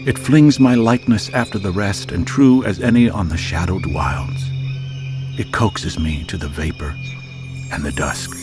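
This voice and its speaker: Deep-voiced man declaiming poetry over music. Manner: declamatory, with long pauses between phrases. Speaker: voice artist reading poetry. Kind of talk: dramatic poetry reading. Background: music and cricket soundscape.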